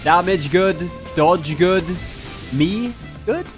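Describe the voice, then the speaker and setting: Guy doing jokey mock-grand game commentary, voice a bit high, some music behind him. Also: lively intonation, very slow. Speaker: gaming video creator narrating a challenge run. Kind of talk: scripted gameplay commentary with jokey asides.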